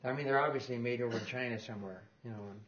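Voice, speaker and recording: Older man, lecturer, rambling, chuckling, middle pitch, dull lo-fi room recording. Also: very fast, a bit bright, slight background noise. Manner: rambling, self-correcting, with small chuckles. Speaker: guest lecturer on loudspeaker design. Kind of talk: informal technical presentation.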